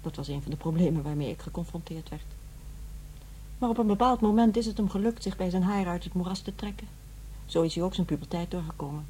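A woman's voice: fairly low pitch, quick pace, mellow, a little hiss or hum, natural voice, poor audio. An actress playing a weary divorced mother in middle age in a radio play, matter-of-fact and reserved. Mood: reproachful, firm. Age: middle-aged. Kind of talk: radio play acting, naturalistic dialogue.

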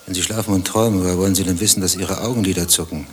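A man's voice: low-pitched, steady tone, racing, slight background noise, close to an everyday voice. An actor playing a contrarian, dryly philosophical zoo visitor in a radio play, pedantic and probing in his talk.

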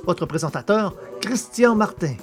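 A man's voice: sits high, expressive, a little slow. A man presenting an audio drama, reading scripted credits as an announcer. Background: music.

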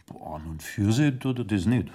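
An actor playing a plodding village police sergeant with a Bavarian accent, husky and hesitant, reporting matter-of-factly in a radio drama. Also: male voice, lowish voice, expressive, warm tone. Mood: puzzled. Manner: deliberate, matter-of-fact.

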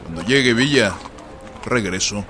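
An actor playing a terse revolutionary general in a radio drama, with a Mexican accent and slow, declarative delivery. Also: male voice, lowish voice, lively intonation, over loud music. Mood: firm, terse. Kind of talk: Spanish-language historical radio drama acting.

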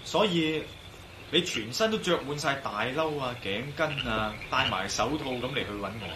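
A man's voice: medium pitch, expressive, moderate pace, noisy background, lightly acted. An actor in a radio drama playing a determined, inquisitive male adventurer, speaking earnestly and with assurance in Hong Kong Cantonese. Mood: thoughtful.

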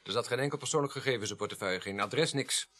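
A man's voice: medium pitch, racing, sharp tone, natural voice. An actor playing a cheeky, sociable young policeman in a radio play, bantering in a jaunty way. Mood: dry. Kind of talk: radio drama dialogue. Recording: radio play, busy crowd behind.

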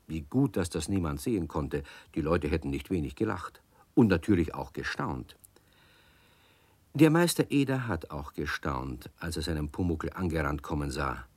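Sex: man. Role: narrator. Style: scripted storybook narration. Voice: very low. Sound: dry, close. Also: expressive, normal speed, a little mellow, breathy edge, dry recording.

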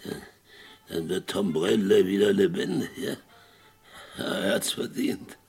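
An actor playing an old, guilt-haunted former sheriff in a 1960s radio western, boastful, rambling and brooding. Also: male voice, low voice, lively intonation, vocal fry, darkish tone, slightly rough, exaggerated voice. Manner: rambling, brooding, theatrical, halting. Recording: vintage mono radio play with faint music.

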